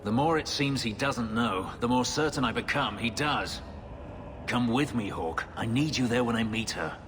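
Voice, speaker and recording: A man's voice: mid-range voice, normal speed, big-room sound, noisy background, lightly acted. An actor playing a bitter, brooding male elf warrior and former slave, speaking in a grave, terse, restrained way. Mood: earnest.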